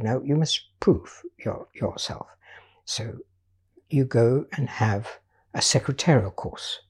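An older woman, raised in Portugal, telling her life story as an artist in a measured, patient interview manner. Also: extremely low pitch, darkish tone, husky. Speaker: guest artist.

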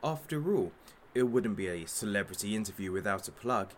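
An actor playing a menacing, mocking captor who interrogates with pompous sarcasm in an audio drama. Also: male voice, lowish voice, lively intonation, a little fast, slight background noise, natural voice. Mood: sardonic, menacing. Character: menacing, sneering, theatrical captor interrogating a young influencer. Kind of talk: audio drama villain monologue.

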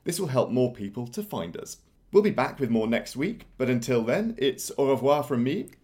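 A man co-hosting a podcast in a casual chat, pausing often with fillers and restarting his sentences. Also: mid-range voice, sing-song, darkish tone. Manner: hesitant, many fillers and restarts. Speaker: podcast co-host.

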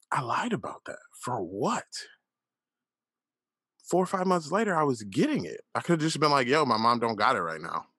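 A man's voice: medium pitch, bright, forceful tone, dry recording, clean studio sound. A man in his late thirties hosting a solo podcast, talking candidly and telling stories with plenty of fillers and swearing. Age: 30s. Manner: candid, profane, storytelling with lots of fillers. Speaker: podcast host. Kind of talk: casual solo monologue.

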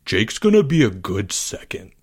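A man's voice: fairly low pitch, sing-song, moderate pace, darkish tone, slightly rough. A man voicing a condescending, combative schoolboy who brags and snaps in a storybook reading. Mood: bragging. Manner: condescending, snappy. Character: cocky, condescending schoolboy Albert, bragging and combative.

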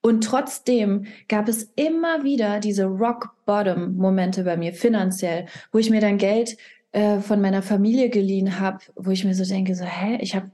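A woman's voice: medium pitch, moderate pace, warm tone. A young woman talking candidly as a podcast guest, rambling and dropping English phrases into her speech. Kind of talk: casual podcast conversation.

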